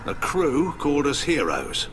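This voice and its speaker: An actor playing a blunt, wry, middle-aged alien admiral and hawkish war veteran in a video game. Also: male voice, a little high, huge pitch swings, unhurried, a little hiss or hum, heavily acted. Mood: reminiscing. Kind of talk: video game dialogue acting. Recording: loud music behind the voice. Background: music.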